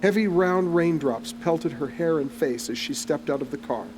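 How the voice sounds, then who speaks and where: A man's voice: fairly high pitch, moderate pace, background noise. A man reading scripted, descriptive story narration for an audio drama, like a storyteller. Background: music.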